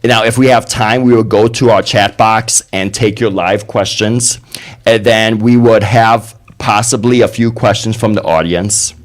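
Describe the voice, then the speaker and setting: A man's voice: on the low side, fairly even intonation, measured pace. A man moderating a neighborhood candidate forum, introducing himself and explaining the format in a plain, organized way. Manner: plain, organized, with occasional fillers. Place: community media center room.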